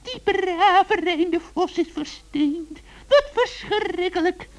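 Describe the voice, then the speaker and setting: Man as old forest witch, extremely high voice, slow, whiny, self-pitying, theatrical children's radio play. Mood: dismayed. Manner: theatrical, stammering, wailing.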